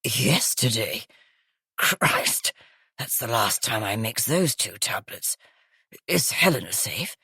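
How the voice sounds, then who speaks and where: A woman voicing a groggy older woman with a croaky, gravelly, smoky voice. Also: extremely low pitch, very animated, slow, bright, forceful tone, dry recording, clean studio sound, pitched below the performer's own voice, full character voice.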